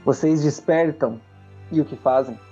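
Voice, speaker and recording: A man's voice: a little high, a little slow, dark tone. A Brazilian man narrating a tabletop roleplay audio drama as game master. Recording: muffled lo-fi audio under music. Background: background music.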